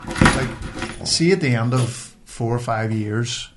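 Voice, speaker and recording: A man's voice: medium pitch, slow pace, heavy background noise. A man with a Northern Irish accent chatting casually on a podcast, in colloquial language with some swearing. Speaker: podcast host. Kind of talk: casual chat.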